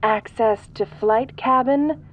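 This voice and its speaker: A woman's voice: fairly high pitch, takes it slowly, dull audio, background noise. A woman voicing an impassive spaceship computer, giving formal, clipped announcements in a sci-fi audio roleplay. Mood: formal, procedural. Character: impassive female ship computer, procedural, alarm-giving machine.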